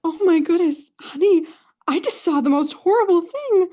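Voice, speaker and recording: A woman's voice: very high voice, lively intonation, normal speed, mellow. A woman playing a self-absorbed, haughty mother in a student comedy radio play, theatrical and overwrought. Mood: overwrought, self-pitying.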